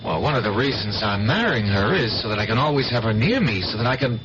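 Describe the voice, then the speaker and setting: An actor playing an intense, evasive newlywed painter in a 1970s radio drama, earnest and halting as he speaks. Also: lowish voice, expressive, background noise, natural voice. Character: intense, evasive painter, newly married and passionate about his art. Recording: muffled 1970s radio broadcast, music behind.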